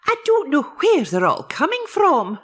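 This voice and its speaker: Woman as sympathetic village postmistress, children's audiobook, raised pitch, slow, encouraging, soothing. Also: wildly swooping pitch, a bit bright, exaggerated voice. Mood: apologetic.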